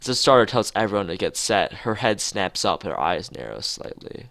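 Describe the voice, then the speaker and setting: A man's voice: lowish voice, very animated, normal speed, gravelly, fairly bright tone, dry recording. A man streaming a visual novel, reading the text aloud with casual asides and often stumbling and correcting himself. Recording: music behind the voice. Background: game background music.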